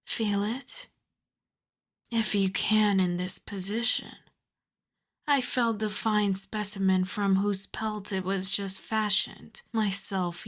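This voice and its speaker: A woman acts out an aristocratic vampire countess, an older predatory lady with a mid-pitched voice. She speaks very slowly and deliberately, haughty and teasing, slipping toward breathy whispers in an intimate ASMR roleplay style. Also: lightly acted. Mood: proud, condescending. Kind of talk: ASMR roleplay, slow theatrical monologue.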